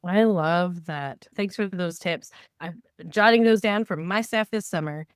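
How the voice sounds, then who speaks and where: A woman with a fairly low voice talks as a podcast co-host, recorded very dry with hardly any room sound. She gives advice conversationally, with enthusiasm and emphasis, slipping into quoted remarks as she explains. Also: measured pace, clear, resonant tone, no echo.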